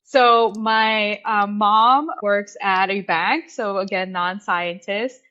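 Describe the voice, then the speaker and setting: A woman in her twenties from Puerto Rico, a PhD student, answering interview questions in a soft, easygoing way with frequent fillers. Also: medium pitch, fairly even intonation, slow pace, clean tone, highs cut off. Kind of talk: podcast interview.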